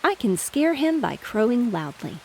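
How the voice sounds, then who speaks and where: A woman's voice: a little high, sing-song, normal speed, creaky, slight background noise, pitched above the performer's own voice, low-quality recording. A woman voicing a vain, bragging red rooster in a storybook, with a pompous manner. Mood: boastful. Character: boastful, vain red rooster strutting around barnyard.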